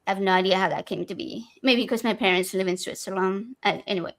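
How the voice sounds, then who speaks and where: A woman's voice: mid-range voice, fast, a bit bright. Woman from Argentina telling her story. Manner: candid storytelling with frequent fillers. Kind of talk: casual podcast chat.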